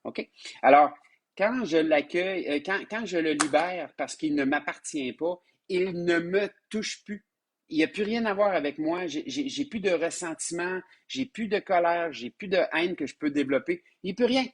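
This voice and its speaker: A man with a Québécois French accent gives a live-streamed spiritual lesson, hoarse from a sinus infection, who repeats words and restarts often and calls his listeners his loves. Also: on the high side, lively intonation, moderate pace. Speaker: spiritual teacher.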